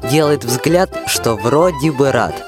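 Young narrator telling a rhymed folk tale, middling pitch, accordion music playing behind. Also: animated, slow. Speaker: storyteller in a radio play.

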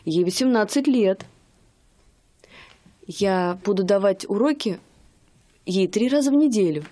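An actress in a radio play voicing a young wife and music student, eager, affectionate and doting. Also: female voice, mid-range voice, lively intonation, unhurried, dark tone, lightly acted. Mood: excited. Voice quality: gushing, affectionate.

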